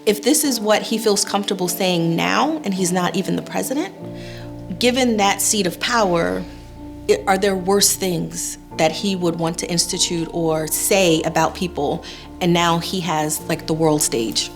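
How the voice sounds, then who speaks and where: A woman talks reflectively in a discussion. Her voice is slightly low. Faint music plays underneath. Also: a little slow, gravelly.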